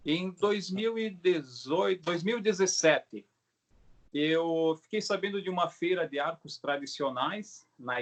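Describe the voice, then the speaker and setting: A middle-aged Brazilian man with a slightly high voice talks casually as an interviewed craftsman. He explains things haltingly, restarting and adding little tag questions as he goes. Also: a bit bright. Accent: Brazilian Portuguese. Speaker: craftsman, bow maker being interviewed. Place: video call.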